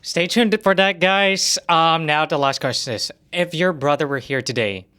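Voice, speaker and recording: A man's voice: on the high side, normal speed, bright, forceful tone, clear, resonant tone. A man hosting a casual interview, speaking in an earnest, effusive and informal way. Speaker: podcast host, interviewer.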